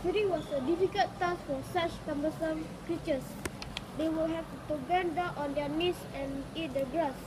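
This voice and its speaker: A woman reading a folk tale aloud. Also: high-pitched. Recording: clearly noisy recording with ambient sound.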